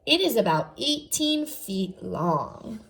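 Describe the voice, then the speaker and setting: A woman's voice: fairly high pitch, sing-song, slow, gravelly, fairly bright tone, poor audio. A woman teacher reading aloud to young children, enunciating carefully and adding short explanatory asides. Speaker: first grade teacher.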